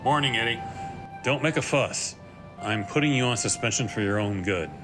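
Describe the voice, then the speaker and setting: A man's voice: mid-range voice, moderate pace, music in the background, natural voice. An actor playing a stern, protective male superior in an audio drama. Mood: stern, worried.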